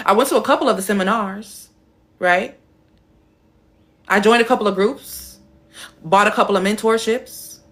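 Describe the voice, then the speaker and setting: A woman's voice: normal speed, long pauses, fairly bright tone. Blunt, playful woman live-streaming. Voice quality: playful, shifts her voice between high and deep. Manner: blunt, emphatic and swearing, reading out chat comments.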